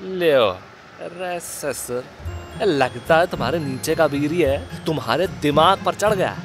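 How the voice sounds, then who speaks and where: A man's voice: on the high side, measured pace, music in the background. A man playing a crude, wisecracking sidekick in a Hindi horror-comedy audio drama, with a colloquial eastern Hindi belt accent and a teasing, foul-mouthed manner. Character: Prasad, crude wisecracking goofy sidekick to a ghost investigator.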